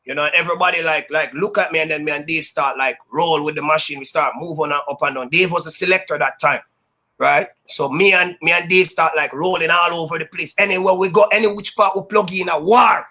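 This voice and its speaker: A Jamaican man tells his stories loudly and with a lot of energy, slipping into patois and bragging freely. His voice is high for a man and has a sharp, bright edge. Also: steady tone, a little fast. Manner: loud, high-energy, boastful, patois-laced storytelling. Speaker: sound system DJ, interview guest. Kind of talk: casual storytelling interview.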